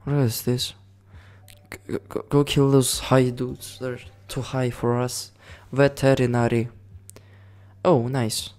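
A man giving casual commentary over a management game, excitable, swearing and yelling orders at his units. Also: medium pitch, slow. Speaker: gaming channel host.

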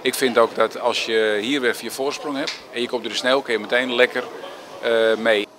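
A man's voice: mid-range voice, steady tone, moderate pace, background noise. A man in an interview talking casually about learning to snowboard indoors, a bit rambling.

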